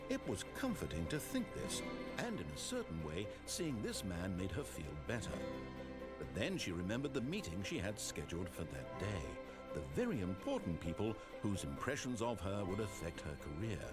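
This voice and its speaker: A man narrating a video game in a measured, wry, theatrical storytelling manner over game music. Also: low voice, huge pitch swings.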